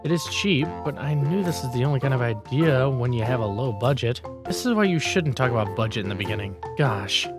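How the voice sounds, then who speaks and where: Blunt, lazy male senior colleague, played by a man, grumbling manga-cartoon critic with lively intonation. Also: medium pitch, a little fast, few pauses, over music, lightly acted. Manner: matter-of-fact, grumbling, critical. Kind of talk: manga dialogue voice acting.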